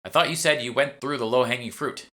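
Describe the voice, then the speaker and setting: A man's voice: mid-range voice, fast talker, bright, forceful tone, voice pushed up, close to an everyday voice. A man voicing a bossy, curt, sardonic female guild fixer in an audiobook. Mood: impatient, knowing. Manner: curt, impatient, know-it-all.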